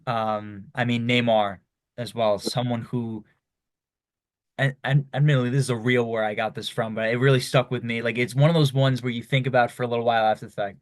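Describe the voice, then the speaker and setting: A man's voice: medium pitch, steady tone, fairly quick, dry recording, clean studio sound. A young man hosting a podcast, asking long, loose questions with lots of you-knows. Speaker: podcast host. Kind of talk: casual interview chat.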